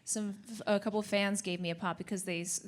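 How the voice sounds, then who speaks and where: A woman's voice: medium pitch, fairly even intonation, brisk. An American woman from New York, a voice actress, rambling and giggling on a convention panel. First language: Russian. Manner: rambling, self-interrupting, giggly. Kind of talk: casual panel chat.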